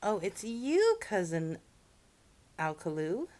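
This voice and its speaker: A woman's voice: medium pitch, wildly swooping pitch, takes it slowly, dark tone. A woman voicing a cheerful, busy, literal-minded housemaid in a children's storybook, with folksy, eager exclamations. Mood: welcoming.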